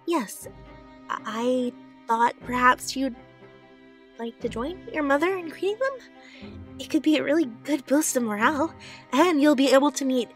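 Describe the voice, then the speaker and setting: A woman's voice: sits high, moderate pace, pauses often, faint music behind, lightly acted. A woman playing an anxious, kind young pony aide in a radio drama, earnest and easily flustered. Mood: flustered, nervous. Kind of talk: fan radio drama acting.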